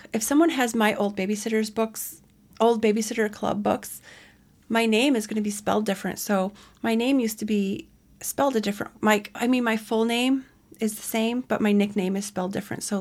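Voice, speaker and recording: Chatty rambling woman in her forties. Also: medium pitch. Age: 40s. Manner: rambling, chatty, self-correcting asides. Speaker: podcast host. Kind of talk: casual solo podcast chat.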